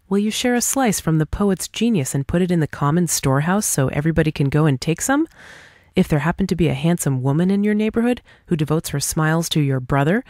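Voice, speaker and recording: A woman's voice: sits low, darkish tone, no room sound. Woman audiobook reader, formal argumentative delivery, scripted dialogue, very dry recording. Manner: formal, argumentative, rhetorical questioning. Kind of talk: scripted dramatic reading of a dialogue essay.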